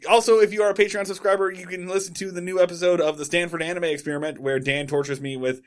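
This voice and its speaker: A man's voice: high-pitched, fairly even intonation, fast talker. Rambling male podcast co-host. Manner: rambling, self-correcting plugs. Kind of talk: casual podcast outro.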